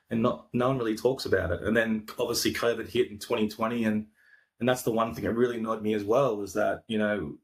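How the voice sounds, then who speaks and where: Australian male podcaster, low-ish voice, easygoing and rambling, on a video call. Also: poor audio. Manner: laid-back, rambling with frequent fillers and restarts. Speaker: podcaster and coach. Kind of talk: casual interview chat.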